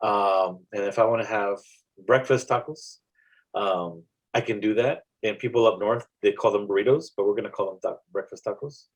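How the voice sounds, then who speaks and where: A man with a South Texas accent talks about his poetry on a podcast. His voice sits low, and he pauses and restarts often as he thinks aloud. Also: poor audio. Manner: reflective, halting, with many restarts and fillers. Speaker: poet guest. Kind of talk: casual interview chat.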